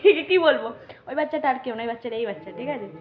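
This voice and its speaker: High-voiced young woman, teasing storyteller. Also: very fast. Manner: animated teasing, repeated check-in questions. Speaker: vlogger. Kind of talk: casual storytelling chat. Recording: dull lo-fi recording.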